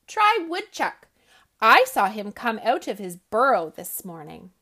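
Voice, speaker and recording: A woman's voice: fairly high pitch, wildly swooping pitch, measured pace, pushed and bright, full character voice. A woman voicing a small, helpful squirrel in a storybook reading. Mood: brisk.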